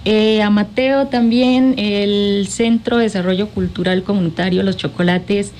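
Colombian lady being interviewed, gushing and affectionate, medium pitch, bit of noise behind her. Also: steady tone, a little slow, darkish tone. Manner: effusive, affectionate. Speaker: interview guest, cultural manager. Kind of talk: conversational interview.

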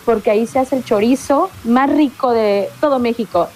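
A middle-aged woman from Mexico, a singer-songwriter, chatting casually in an interview and often pausing to search for words. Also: a little high, a little mellow, a little hiss or hum, rough recording.

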